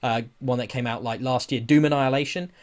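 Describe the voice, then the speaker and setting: A man's voice: mid-range voice, steady tone, quick pace. A man co-hosting a podcast, chatting casually about films and games in an enthusiastic, emphatic, sweary way. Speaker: podcast co-host. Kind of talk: casual film and game chat.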